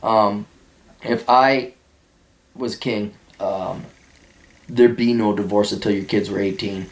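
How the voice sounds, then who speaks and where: A man hosting a podcast, answering listener questions in a blunt, sarcastic way and mocking some of them in high put-on voices. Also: fairly even intonation, takes it slowly, reverberant, low-quality recording. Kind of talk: blunt casual monologue reading out and answering listener mail.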